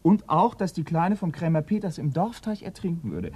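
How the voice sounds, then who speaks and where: An actor playing a polite, hesitant, earnest police detective's assistant in a German radio drama, often repeating himself. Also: male voice, a little high, sing-song, normal speed, darkish tone, slightly rough.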